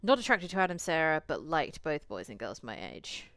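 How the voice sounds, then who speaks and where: A woman's voice: low voice, big pitch swings, brisk, fairly bright tone, no echo. A woman game streamer reading a visual novel aloud and chatting to viewers, with casual swearing and playful asides. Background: eerie game music.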